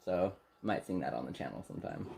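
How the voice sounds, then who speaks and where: A soft-spoken young man co-hosting a casual get-to-know-you chat in a small bedroom, laughing often and speaking candidly. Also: sits low, animated, fast talker, vocal fry, slight background noise. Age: young adult. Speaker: channel co-host.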